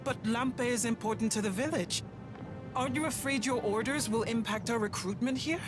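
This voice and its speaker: An earnest alien soldier in a video game cutscene. The voice is strikingly high. Music is loud behind it. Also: male voice, normal speed. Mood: dutiful, uneasy.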